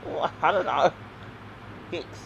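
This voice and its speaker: A man's voice: takes it slowly, fairly bright tone, lo-fi sound, slight background noise. A man chipping in as a co-host with casual, provocative, teasing remarks. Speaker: co-host interjecting. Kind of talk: casual banter.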